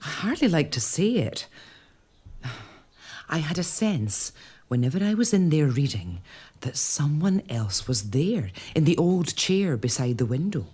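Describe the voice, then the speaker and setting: A woman's voice: sits low, sing-song, a little slow, warm tone, husky, exaggerated voice. An actress playing a young mother, lady of an old castle, who speaks hesitantly and apologetically in a period audio drama.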